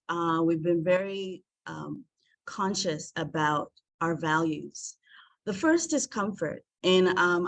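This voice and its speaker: A woman's voice: fairly low pitch, dry recording. A woman from South Africa giving an earnest presentation over a video call, with frequent ums and uhs. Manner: warm, earnest, many filler ums. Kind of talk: presentation to an online group.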